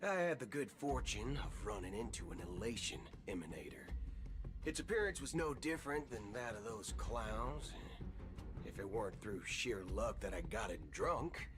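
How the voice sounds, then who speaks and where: A man's voice: on the low side, very animated, moderate pace. A man playing a swaggering, sly cowboy ranger in a video game, with a theatrical folksy drawl. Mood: grave, dramatic. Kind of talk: video game dialogue acting. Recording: game audio with music behind.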